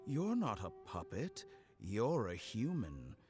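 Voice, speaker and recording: An actor playing a suave, sadistic scientist villain in a video game, with a deliberate, mockingly polite delivery. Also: male voice, fairly low pitch, huge pitch swings, darkish tone, soft background music, heavily acted. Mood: smug, gloating. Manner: slow, deliberate, mockingly courteous.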